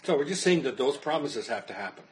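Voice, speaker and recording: A man's voice: mid-range voice, expressive, fast, low-quality recording. A man, a pastor, teaching a Bible study in an earnest, explanatory way and answering questions while losing his voice.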